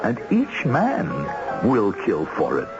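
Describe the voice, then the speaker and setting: A man's voice: mid-range voice, huge pitch swings, slow pace, muffled recording. An actor playing a respectable family man turned self-assured hired killer in an old-time radio drama, speaking emphatically and insistently. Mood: boastful, fervent. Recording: band-limited old radio recording with music.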